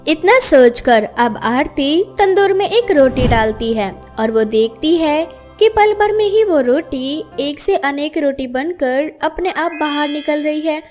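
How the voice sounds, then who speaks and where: Female storybook narrator, very high voice, scripted children's tale delivery over soft music. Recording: music behind the voice.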